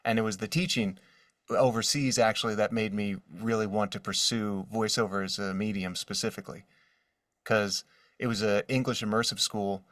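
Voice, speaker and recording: A man in his forties speaking casually as an interview guest, telling stories in an animated manner from his studio. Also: on the low side, moderate pace, dry recording, distant mic. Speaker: guest, voice actor. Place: his own voiceover studio.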